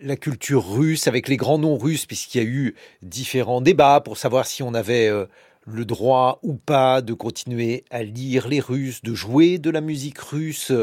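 A man interviewing an author for radio, asking long, well-formed questions and reading quotations aloud smoothly. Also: mid-range voice, unhurried, a little mellow, no echo, clean studio sound. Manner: articulate, reads quotes aloud fluently.

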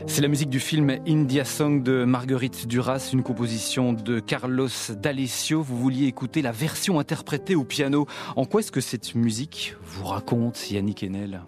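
A man's voice: mid-range voice, moderate pace, over music. A man hosting a radio interview, reading polished, scripted introductions. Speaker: radio host.

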